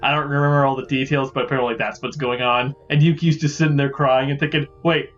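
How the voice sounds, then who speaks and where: A man's voice: mid-range voice, steady tone, fast talker. A man giving casual, rambling gameplay commentary as host of a gaming series. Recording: dull lo-fi mic, faint music behind.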